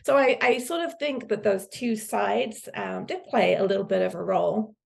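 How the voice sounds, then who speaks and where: A woman's voice: mid-range voice, normal speed, creaky. A middle-aged woman, answering conversationally as a podcast guest. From UK, countryside in Suffolk, England. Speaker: podcast guest, business executive.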